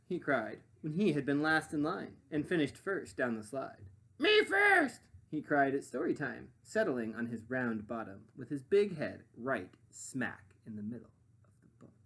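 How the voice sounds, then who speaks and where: A man reads a children's story aloud like a teacher, swinging widely in pitch. His voice is a little husky, and he pauses to muse and prompt his listeners. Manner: animated, pausing to muse and prompt. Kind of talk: expressive children's story narration with questions to listeners.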